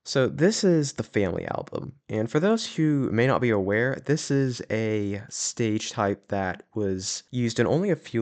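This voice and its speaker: A young man with a sore throat talks over a tier list in a loose, rambling way. His pitch rises and falls a lot as he goes, and he keeps stopping to fill gaps with "uh" and "you know." He sits at a middling pitch for a man. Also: darkish tone. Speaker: YouTube host ranking animatronic stages.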